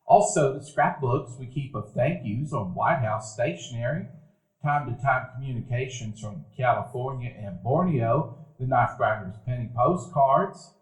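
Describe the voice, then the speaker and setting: A man narrating a live stage radio play in a warm, reminiscing storytelling manner. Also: mid-range voice, animated, normal speed. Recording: echoey room, live audience.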